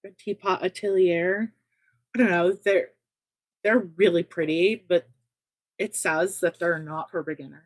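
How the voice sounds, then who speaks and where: A woman guest chatting eagerly about crochet during a relaxed livestream. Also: on the low side, a little slow, dry recording. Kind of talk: casual crochet chat on a livestream.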